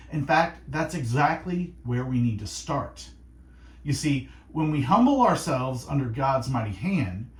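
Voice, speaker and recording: A man hosting faith-based radio, speaking in an earnest, reflective monologue of encouragement with short, deliberate phrases. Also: mid-range voice, reverberant. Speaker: radio host. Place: radio studio.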